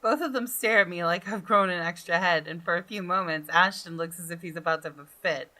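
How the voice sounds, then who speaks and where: A woman's voice: lowish voice, brisk, sharp tone. A woman hosting a gaming video, reading visual novel narration expressively with casual commentary.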